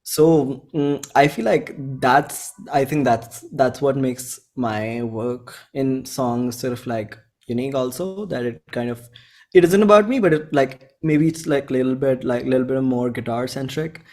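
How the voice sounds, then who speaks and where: A man in his twenties speaks with an Indian accent at a medium pitch. He talks like a musician in a relaxed interview, informal and rambling. He often repeats himself and slips into bits of quoted dialogue. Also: unhurried. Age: 20s. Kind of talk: casual conversational interview.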